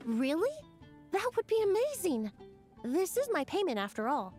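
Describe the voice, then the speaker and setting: A woman's voice: very high-pitched, wildly swooping pitch, moderate pace, a little mellow, faint music behind, full character voice. A woman playing a friendly young perfumer in a video game, speaking in a polite, enthusiastic and thoughtful way. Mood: delighted. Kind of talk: video game character voice acting.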